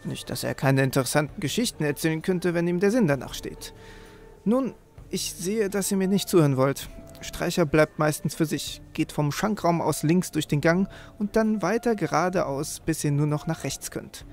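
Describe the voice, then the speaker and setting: A man with a creaky voice hosting a let's play, commenting on the game and reading quest text aloud. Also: on the high side, lively intonation, moderate pace, a little mellow. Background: game music.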